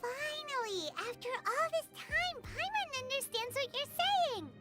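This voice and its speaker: A woman voicing a tiny, cheeky fairy companion who chirps and refers to herself in the third person, over loud game music. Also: very high voice, big pitch swings, measured pace, full character voice. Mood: chirpy. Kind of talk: video game voice acting.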